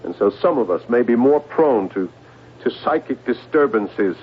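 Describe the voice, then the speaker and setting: An actor playing a troubled, fearful man on a secret project in a 1970s radio drama, speaking haltingly. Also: medium pitch, animated, lightly acted. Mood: fearful, insistent. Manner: halting, hesitant, repeating words. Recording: tinny, noisy old radio broadcast.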